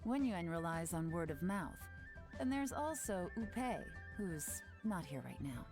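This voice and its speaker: A woman voicing a composed intelligence chief in a video game, speaking calmly and with quiet authority over faint game music. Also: on the low side, unhurried. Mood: commanding. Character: cool-headed woman spymaster giving orders. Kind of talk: game voice acting.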